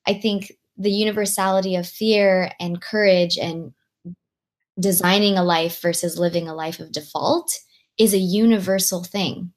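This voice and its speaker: Slightly low-voiced young American woman, reflective. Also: fairly even intonation, normal speed. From Long Beach, California, USA. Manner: reflective, peppered with "like" and "right?". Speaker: podcast guest. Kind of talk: conversational interview answers.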